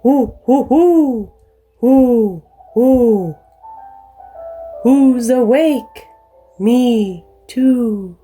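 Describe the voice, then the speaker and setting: A woman voicing a sleepy, dignified female great horned owl in a children's storybook, hooting slowly. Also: a little high, mellow, faint music behind.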